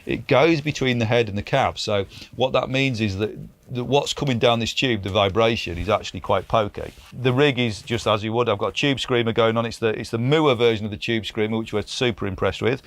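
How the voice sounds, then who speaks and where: A man's voice: medium pitch, fairly quick, hardly any pauses, fairly bright tone. A British man presenting a casual gear review in an informal, enthusiastic way. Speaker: presenter demonstrating guitar pedals.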